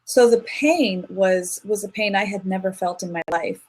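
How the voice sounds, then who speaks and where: A woman's voice: mid-range voice, normal speed, rough recording. A woman speaking as a guest in an interview, telling a personal story in an earnest, reflective way with frequent restarts. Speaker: guest, singer.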